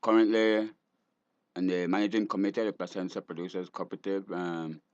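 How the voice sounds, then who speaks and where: Low, slightly muffled Belizean man. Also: little pitch movement, fairly quick, darkish tone, no echo. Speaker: guest, fisherman. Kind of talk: radio interview introduction. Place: radio studio.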